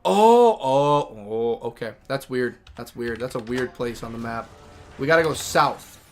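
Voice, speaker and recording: A man's voice: medium pitch, expressive, unhurried, a little hiss or hum. A man in his thirties streaming a game, thinking aloud in a rambling, chatty way. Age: 30s. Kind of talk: casual livestream chat.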